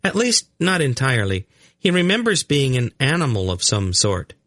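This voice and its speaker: A man voicing an uncanny young boy in a storybook, explaining things calmly and matter-of-factly. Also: mid-range voice, moderate pace, no echo, clean studio sound, voice pushed up. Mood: calm, knowing. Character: calm, uncanny young blond boy who reads minds.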